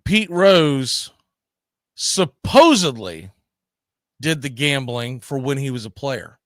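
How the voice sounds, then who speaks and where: A man's voice: medium pitch, very animated, takes it slowly, fairly bright tone, no room sound. Male sports talk host, mid-range voice, emphatic storyteller, live-show commentary, dry recording.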